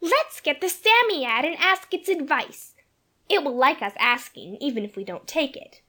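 A man voicing an eager, soft-hearted little girl in a storybook reading, childlike and pleading. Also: extremely high pitch, lively intonation, moderate pace, pitched above the performer's own voice, full character voice.

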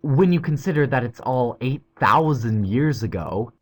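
Man voicing a bossy young boy, husky. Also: mid-range voice, lively intonation, measured pace, voice pushed up. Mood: patronizing. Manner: bossy, reassuring. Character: bold patronizing young boy.

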